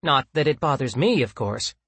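A prim little robot drone, pitched high and swooping up and down. It is fussy, eager to help and pleased with itself, speaking in formal, polite phrases in a science fiction audiobook. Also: male voice, expressive, normal speed, a bit bright, husky, no room sound. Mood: mock regretful.

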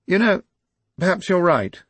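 Blustering older lord, pitched up and forceful, a bit husky, read by a man. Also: very animated, measured pace, dry recording, exaggerated voice. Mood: rousing. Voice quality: indignant, blustering.

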